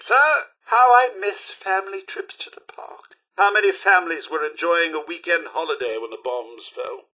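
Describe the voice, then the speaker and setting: An actor playing a polite, fussy, wistful robot butler in a video game, with a British accent and a genteel, theatrical manner. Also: male voice, fairly high pitch, pushed and bright, breathy edge, exaggerated voice. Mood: chipper, fussy. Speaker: companion character in a video game. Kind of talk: scripted game voice lines.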